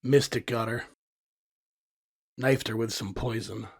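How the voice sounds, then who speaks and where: Man playing a gravelly, grim warrior. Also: mid-range voice, fairly even intonation, slow, no echo, clean studio sound, full character voice. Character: grim male warrior companion bearing bad news.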